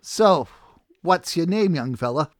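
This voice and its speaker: A man puts on an old blue-collar dock worker from a novel, in his sixties, with a Boston accent. He speaks in a slow, methodical, folksy way, amiable and plain, in a very dry recording. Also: on the high side, animated, dry recording, pitched above the performer's own voice. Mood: friendly. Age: 60s.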